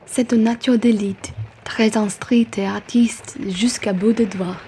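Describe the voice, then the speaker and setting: A woman's voice: mid-range voice, steady tone, slow pace, dark tone, a little hiss or hum. A woman playing a genteel, gushing society lady in a French radio play. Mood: admiring.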